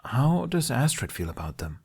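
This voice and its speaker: A man's voice: medium pitch, sing-song, normal speed, gravelly, a little mellow, breathy edge, voice pushed up, exaggerated voice. A man voicing a playful, cocky young male bard with a teasing, casual manner in a storybook reading. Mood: friendly.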